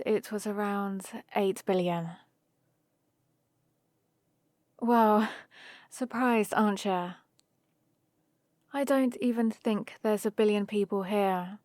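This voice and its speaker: A woman's voice: medium pitch, a little mellow, no echo, clean studio sound, natural voice. A woman playing a friendly, teasing human heroine in an ASMR roleplay, explaining things casually and patiently to the listener. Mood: amused, teasing. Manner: casual, playful, explanatory rambling. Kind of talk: ASMR roleplay, one-sided conversation with the listener.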